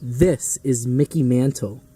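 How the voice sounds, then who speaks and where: A man's voice: medium pitch, takes it slowly, dark tone, husky. A young man presenting an amateur news report. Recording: distant mic, music behind. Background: music.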